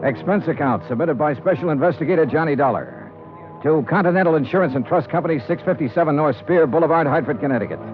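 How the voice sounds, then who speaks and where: An actor with an American accent playing a brisk, hardboiled insurance investigator narrating an old radio detective drama. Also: male voice, fairly high pitch, music in the background, lightly acted. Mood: brisk, dry. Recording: dull old radio recording.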